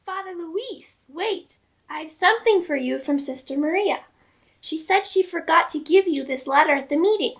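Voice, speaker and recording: A woman playing an eager, hurried young messenger in an audio drama. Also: very high-pitched, animated. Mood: urgent.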